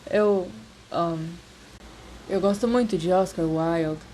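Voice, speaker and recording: Woman voicing a shy, halting Brazilian schoolboy. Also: fairly low pitch, mellow, a little hiss or hum, poor audio. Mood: anxious, brave. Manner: hesitant, halting. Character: shy, nervous, earnest bookish schoolboy.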